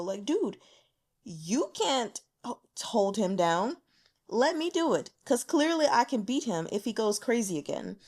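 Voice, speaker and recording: Casual, animated woman chatting about books. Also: medium pitch. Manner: animated, slangy, giggly asides. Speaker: book reviewer hosting a reading vlog. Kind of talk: casual chatty book commentary with notes.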